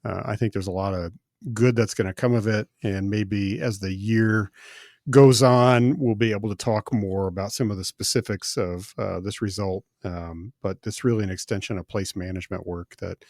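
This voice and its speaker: Relaxed midwestern male podcast host. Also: lowish voice, normal speed, no room sound, clean studio sound. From American Midwest. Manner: relaxed, friendly, many filler words. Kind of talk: casual interview chat. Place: recording studio.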